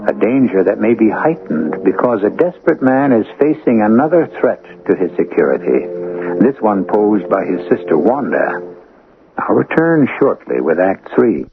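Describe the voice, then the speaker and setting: A man with an American accent hosting a radio drama, narrating in a measured, ornate and ominous storytelling way. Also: on the low side, creaky. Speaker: host and narrator. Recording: tinny old radio broadcast.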